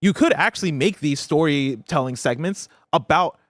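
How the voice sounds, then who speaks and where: A man's voice: fairly high pitch, lively intonation, normal speed, a bit bright, no room sound, clean studio sound. A man hosting a games news show, talking in a casual, analytical, conversational way. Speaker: podcast host.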